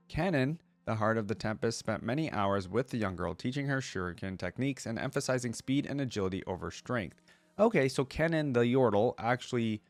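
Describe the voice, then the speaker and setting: A man's voice: fairly low pitch, no room sound. A man hosting a reaction video, mixing casual commentary with reading aloud and thinking things through as he goes.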